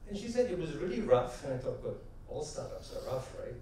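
A middle-aged man giving an animated keynote talk on stage with a headset mic, telling stories and asking rhetorical questions. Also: on the high side, a little fast, slight background noise. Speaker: conference speaker. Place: large conference hall stage. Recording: echoey hall, heard from a distance.

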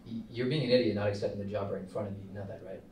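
A man acting as a brash young male startup founder, insistent and preachy, in a fairly low voice. It is a film table read, picked up from a distance in an echoey room. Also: fairly even intonation, racing, darkish tone, natural voice. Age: young adult. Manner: insistent, preachy salesman delivery. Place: small meeting room, indoors. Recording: roomy, distant mic, faint noise.

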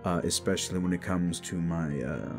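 A man's voice: deep voice, normal speed, a little mellow, faint music behind. A man who is a little sick, giving a casual, rambling outro to listeners as an audio creator. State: a little sick, voice not fully recovered.

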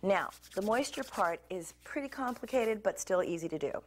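A woman's voice: medium pitch, moderate pace. A woman in her forties hosting a cooking show, talking casually to camera as she mixes pizza dough in a kitchen. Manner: chatty and relaxed, talks while mixing dough. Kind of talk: demonstrating a recipe to camera. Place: home kitchen set, indoors.

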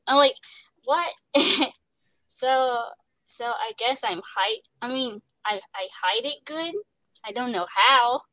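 Slow, stuttering young woman on headset. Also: fairly high pitch, bright, forceful tone, no echo, low-quality recording. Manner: stutters, many fillers and repeated words, laughs often. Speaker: guest, voice actor. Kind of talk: casual interview chat. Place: home room. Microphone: headset on a video call.

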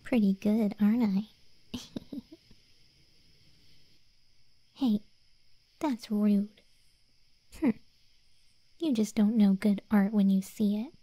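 A woman voicing a smug, conceited undead cat girl in a soft, teasing ASMR roleplay. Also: medium pitch, takes it slowly, long pauses, no room sound. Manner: soft, teasing, self-important. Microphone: close, dry studio-style mic.

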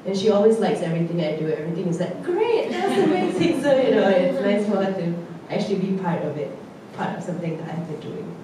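A woman, an actor on a post-show panel, talking in a bubbly way with her pitch jumping high. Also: quick pace. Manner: bubbly, laughing, lively pitch jumps. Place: large theatre stage. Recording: echoey theatre from a distance with noise.

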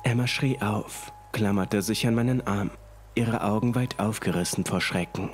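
A man with a fairly low voice narrates a horror story. His delivery is deliberate and ominous, set over music and the sound of rain. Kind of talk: scripted first-person horror narration.

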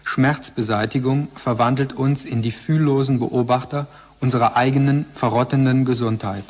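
An actor in a German radio play playing a learned lecturer reciting scholarly text in a formal, measured way. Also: male voice, medium pitch, fairly even intonation, darkish tone, lightly acted. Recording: long hall-like reverb.

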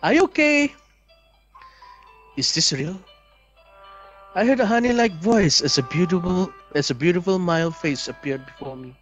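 A young man reads visual novel narration aloud as a let's player, deliberate and storybook-like, with casual asides. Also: fairly high pitch, fairly bright tone, soft background music.

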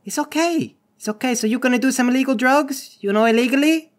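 A man's voice: very high-pitched, quick pace. A man voicing a lazy, sweet-talking druggie girlfriend in a tabletop game, wheedling and whiny.

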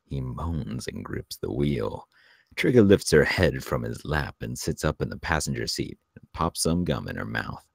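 A man reading screenplay stage directions aloud as a narrator, in measured present-tense narration. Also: a bass voice, creaky, no echo, clean studio sound.

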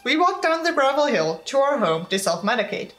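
Young woman, highish voice, swooping intonation, dramatic reading, sarcastic asides, exasperated. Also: big pitch swings, fairly quick, a bit bright. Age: young adult. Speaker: video creator reading a book aloud with commentary.

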